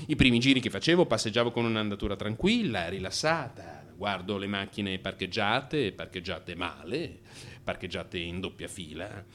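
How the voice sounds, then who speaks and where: A restless man in crisis in a radio theatre monologue. He confides his frustrations in a theatrical, confessional way. His intonation is very animated, moving between hushed phrases and emphatic bursts. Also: lowish voice, moderate pace. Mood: wistful, restless. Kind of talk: dramatic first-person radio theatre monologue.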